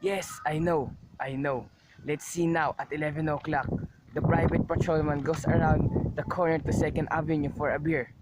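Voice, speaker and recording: A man's voice: a little high, little pitch movement, moderate pace, lightly acted. A man playing a businesslike, obedient hired killer in an amateur radio play, clipped and deferential, on a noisy recording.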